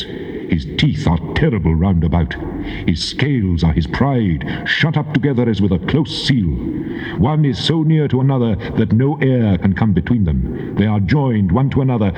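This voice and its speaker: Booming, echoing majestic God. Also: male voice, darkish tone. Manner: grand, emphatic, declamatory. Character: God, stern and majestic male deity proclaiming in wrath. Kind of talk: dramatized scripture reading, theatrical declamation. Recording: dull tape, long cavernous reverb.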